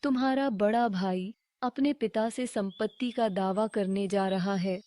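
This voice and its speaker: Woman as exasperated village wife, mid pitch, slightly dark tone, reproachful, urging, cartoon tale. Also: steady tone, measured pace, no echo, natural voice. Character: exasperated, scheming wife of a gambler.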